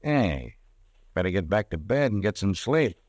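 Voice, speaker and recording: An actor playing a polite, matter-of-fact police inspector in an old radio drama. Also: male voice, on the low side, very animated, normal speed, darkish tone, no room sound, studio quality. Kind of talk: 1940s radio acting.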